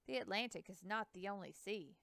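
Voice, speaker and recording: A woman's voice: medium pitch, brisk, gravelly, a bit bright, no echo. A woman voicing an earnest, courteous young male explorer who speaks eagerly and politely.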